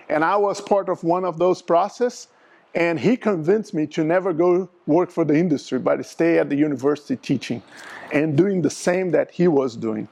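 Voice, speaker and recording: Brazilian-accented middle-aged man, slightly high voice, explaining slides to a club audience. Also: gravelly, darkish tone. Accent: Brazilian Portuguese. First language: Portuguese. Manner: explanatory, asks audience for agreement. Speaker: aerospace engineer presenting to a flying club. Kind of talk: slide presentation talk.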